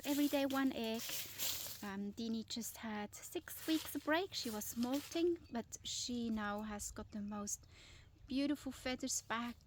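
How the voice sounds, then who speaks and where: A woman's voice: a little high, slight background noise. Swiss-accented middle-aged woman, non-native English, casual garden vlog, chatty with fillers, recorded outdoors with faint ambience. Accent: Swiss German. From Switzerland. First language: Swiss German. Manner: chatty, informal, with frequent fillers and restarts. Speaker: vlogger, lifestyle property owner.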